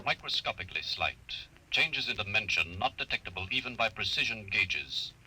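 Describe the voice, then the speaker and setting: An actor voicing an impersonal, all-knowing machine with formal, precise delivery in a 1950s radio drama. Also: male voice, lowish voice, expressive, normal speed, bright, forceful tone. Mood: impersonal, factual. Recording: faintly noisy vintage radio recording.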